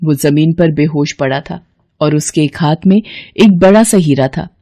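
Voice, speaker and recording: A woman giving expressive scripted narration of a children's moral story. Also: deep voice, normal speed.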